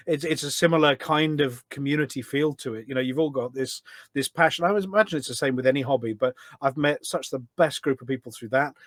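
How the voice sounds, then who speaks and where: A middle-aged man chatting casually as a podcast guest, telling stories in an animated way. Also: medium pitch, no room sound. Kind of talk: casual anecdotal chat.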